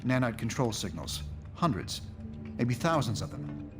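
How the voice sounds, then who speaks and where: Game scientist hero: analytical, worried, explanatory, mid voice over clear score. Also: male voice, mid-range voice, sing-song, a little slow, over music. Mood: dismayed. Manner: precise, explanatory. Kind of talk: dramatic video game cutscene acting.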